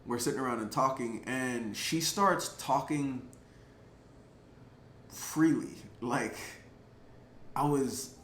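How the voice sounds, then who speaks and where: A man telling a personal story casually to camera, chatty and animated, with lots of filler words. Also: medium pitch, slow pace, pauses often. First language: English. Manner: chatty, lots of "like" and "um" fillers, laughs between thoughts; animated, gets louder at exciting moments. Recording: roomy echo, faint background noise.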